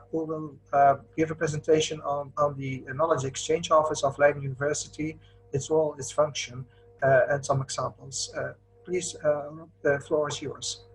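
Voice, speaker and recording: A man moderating a webinar in a semi-formal style, with hesitant, measured phrasing. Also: fairly high pitch, a bit bright, rough recording.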